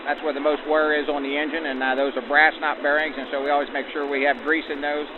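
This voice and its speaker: A man's voice: fairly high pitch, level tone, fast talker, phone-quality sound. A man, a crew chief, explaining his work in a plain, practical way in an interview. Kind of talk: unscripted interview answers. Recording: band-limited, noisy outdoor vintage-style sound.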